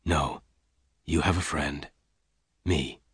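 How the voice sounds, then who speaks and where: A man voicing a calm, gruff male gunman who speaks low and quietly. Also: moderate pace, dry recording, studio quality, heavily acted. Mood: quiet, hard.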